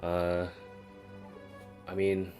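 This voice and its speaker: A man's voice: low voice, completely flat delivery, extremely slow, over music. A man doing casual live-stream gaming commentary, muttering and thinking aloud to himself. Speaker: game streamer.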